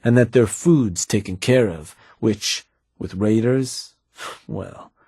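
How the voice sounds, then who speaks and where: An easygoing husky raider advisor. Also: male voice, on the low side, dark tone, dry recording, full character voice. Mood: steady. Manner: easygoing, folksy, measured drawl. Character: calm veteran male raider advisor. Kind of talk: game voice acting. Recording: dry studio recording.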